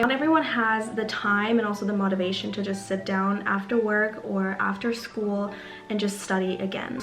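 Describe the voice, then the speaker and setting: A woman's voice: medium pitch, a bit bright. Young woman, German first language, chatty vlog talk, echoey room, faint background music. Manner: chatty, fillers like "like". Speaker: YouTuber. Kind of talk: casual vlog talk to camera. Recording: roomy sound with faint music.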